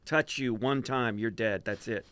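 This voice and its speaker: A man's voice: medium pitch, a little slow, a bit bright, clear, resonant tone, no room sound. A man from Texas, a language teacher and musician, chatting as an interview guest and telling stories with jokes. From Texas, USA. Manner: storytelling with jokes and laughter. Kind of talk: casual interview chat.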